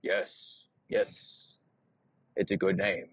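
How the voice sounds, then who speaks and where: A gruff, determined blacksmith talks plainly in a slightly lowered voice, as a man plays him, with a husky edge. It is a dull, dry lo-fi recording. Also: a little slow, no echo. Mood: matter-of-fact.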